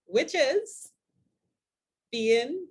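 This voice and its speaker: An older woman co-hosting a talk show, speaking to viewers in a deliberate, emphatic manner. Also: sits high, expressive. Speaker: co-host of a show for the over-fifties.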